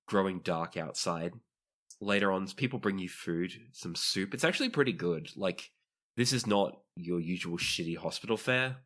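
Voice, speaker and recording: A man's voice: low voice, fairly bright tone. A man narrating a tabletop role-playing game as game master, in a conversational, descriptive way.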